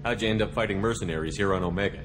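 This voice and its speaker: A steady military commander from a video game, played by a man, with a low voice and quick delivery. He is direct, calm and businesslike, probing for answers, with noisy ambience behind him. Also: lightly acted. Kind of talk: video game dialogue acting.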